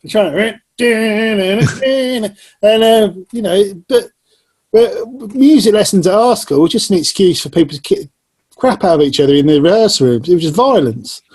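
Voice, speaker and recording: A man from Northamptonshire hosts a relaxed conversation, with chatty remarks and laughing asides. His voice is high yet mellow and husky in tone. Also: moderate pace. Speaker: host. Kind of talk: casual interview chat.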